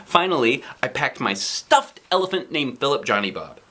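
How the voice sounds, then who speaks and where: Animated man reading a children's storybook. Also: mid-range voice, normal speed. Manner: expressive, dramatic emphasis. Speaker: dad reading a children's book aloud.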